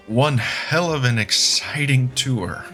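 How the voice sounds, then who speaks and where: A man acts a wounded, sardonic man in an audio drama. His mid-pitched voice is tense and drags very slowly, pained and labored, with faint music behind. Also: pushed and bright. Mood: pained, wry. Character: badly injured, wryly sardonic man, struggling to speak.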